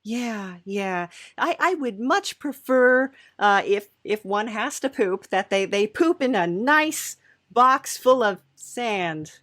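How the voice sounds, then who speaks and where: A woman playing an eager, servile devil's apprentice hosting a comedy podcast, gushing, halting and apologetic. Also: on the high side, lively intonation, a bit bright. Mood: eager, chatty. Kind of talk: improvised character comedy interview.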